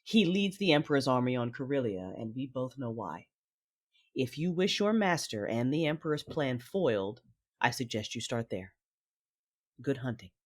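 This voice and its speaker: A cold, calculating Sith noblewoman voiced by an actress in a science fiction audio drama. Her voice is husky and rough-edged, with a measured, guarded delivery that expects obedience. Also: very deep voice, sing-song, exaggerated voice. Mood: commanding. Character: cold, calculating Sith lady, estranged wife and sister. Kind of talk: audio drama acting with first-person narration.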